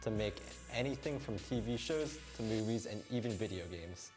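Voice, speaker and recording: A man's voice: fairly low pitch, expressive, moderate pace, a little mellow, faint music behind. Young man reading news stiffly. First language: not English. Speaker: news co-host. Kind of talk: scripted news read.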